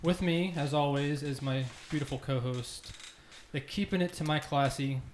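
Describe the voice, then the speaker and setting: A man's voice: fairly high pitch, normal speed. A man hosting a casual group podcast with playful, mock-grandiose banter. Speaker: podcast host. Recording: echoey room, faint noise.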